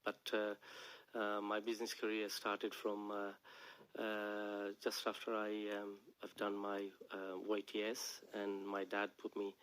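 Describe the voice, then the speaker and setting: A middle-aged man with a Bangladeshi accent, a native Bengali speaker, talking casually and haltingly as a guest in a radio studio interview. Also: lowish voice, monotone, fairly bright tone, clean tone, rough recording. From Bangladesh and England. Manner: halting, hesitant, frequent "you know". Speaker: interview guest, charity worker and businessman.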